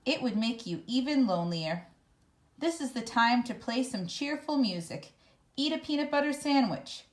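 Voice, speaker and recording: A woman reading a children's picture book aloud in a measured, expressive storytelling manner. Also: fairly high pitch. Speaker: storybook reader.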